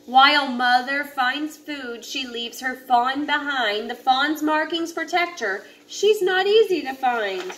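A woman in her thirties reading a children's picture book aloud in a deliberate, instructive way, now and then stumbling over a word. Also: high-pitched, animated, fairly bright tone. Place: home living area with kitchen, indoors. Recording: noisy home recording, room ambience.

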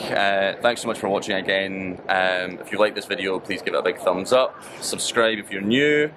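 A man's voice: low-pitched, normal speed. A Scottish man in his thirties chatting easily to a handheld camera in a big indoor trade show hall. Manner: chatty, easygoing, lots of fillers. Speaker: vlog co-host, small business owner. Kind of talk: casual vlog chat to camera. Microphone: camera held at arm's length. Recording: loud background noise.

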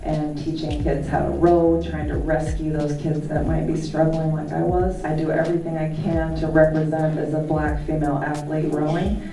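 A woman's voice: low voice, little pitch movement, normal speed, few pauses, over music. A woman who coaches rowing, speaking earnestly about giving back. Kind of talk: speech to students. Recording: roomy hall, far from camera.

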